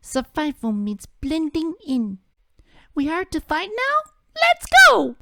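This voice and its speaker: A man's voice: very high voice, wildly swooping pitch, slow, creaky, bright, forceful tone, pitched above the performer's own voice, exaggerated voice. A man voicing a bubbly, naive young female game creature, childlike and eager.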